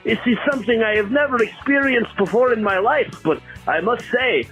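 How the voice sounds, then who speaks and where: A man's voice: very high voice, a little fast, a bit bright, low-quality recording. A man voicing a bewildered, delighted Russian caller who speaks formal broken English in a comic voicemail. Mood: mock-solemn, delighted. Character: bewildered, delighted Eastern European man phoning in. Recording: voicemail with music behind. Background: music.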